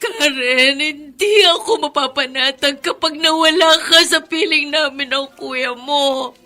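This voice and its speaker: A woman's voice: high voice, unhurried, sharp tone, soft background music. A woman playing a poor, proud, middle-aged widowed mother who fiercely protects her children in an emotional melodrama. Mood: tearful pleading. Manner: emphatic, emotional. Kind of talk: Filipino melodrama acting.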